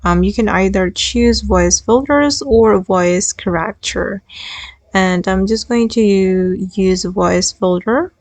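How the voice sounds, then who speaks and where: A woman with a mid-pitched voice narrates a step-by-step software tutorial as its host. The recording is dry, with no room echo. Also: slow.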